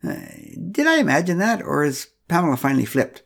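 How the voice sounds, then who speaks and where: A man's voice: a little high, big pitch swings, fairly quick, creaky, a bit bright, voice pushed up, heavily acted. A man doing a bewildered, hesitant neighbor. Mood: puzzled. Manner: hesitant, puzzled, with "uh" and "mm".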